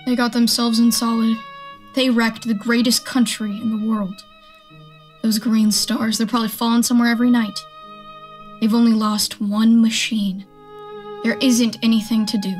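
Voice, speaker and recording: A woman's voice: fairly high pitch, little pitch movement, normal speed, faint music behind, natural voice. A woman playing a defiant, survival-minded militia stranger in a radio play, talking in a blunt, folksy, speechifying way. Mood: grim, resigned. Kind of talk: radio drama acting.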